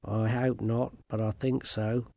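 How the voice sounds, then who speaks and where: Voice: medium pitch, level tone, unhurried, gravelly, dark tone, no room sound. A narrator voicing a wary toad advisor in a storybook, dry, hesitant and understated. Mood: gloomy, wary.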